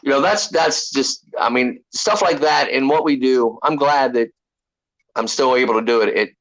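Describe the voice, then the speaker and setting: A man in his forties chatting casually on a video call, rambling with halting restarts in a laid-back way. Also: mid-range voice, fairly bright tone, poor audio. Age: 40s. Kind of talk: casual chat.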